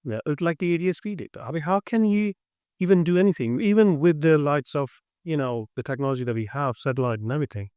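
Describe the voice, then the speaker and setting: A man's voice: fairly high pitch, fast, darkish tone, clear, resonant tone, dry recording, clean studio sound. A man discussing his industry as a tech consultant, emphatic and often repeating words mid-sentence.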